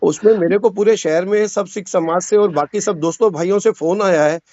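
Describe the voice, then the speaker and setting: A man's voice: high-pitched, brisk, warm tone, no echo. A man on a recorded phone call, insistently pressing the other person with repeated questions. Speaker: caller questioning another man about a dispute. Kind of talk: phone conversation, probing questions. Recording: muffled, band-limited phone call recording.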